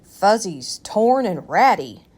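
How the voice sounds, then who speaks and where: A woman's voice: medium pitch, sing-song, slow, darkish tone, breathy edge, full character voice. A woman voicing a worried, firm father in a children's storybook, speaking emphatically.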